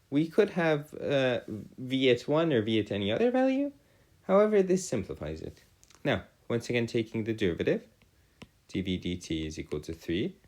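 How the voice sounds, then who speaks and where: A man's voice: mid-range voice, darkish tone. A man teaching a maths lesson as a tutor, in formal, wordy phrasing, often correcting himself as he works aloud. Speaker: math tutor. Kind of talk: video lesson working through calculus problems aloud.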